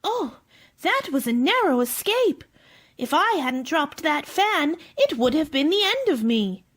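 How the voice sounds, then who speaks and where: A woman's voice: high voice, sing-song, measured pace. A woman playing a polite, curious young girl in a radio play, talking with mannered wonder.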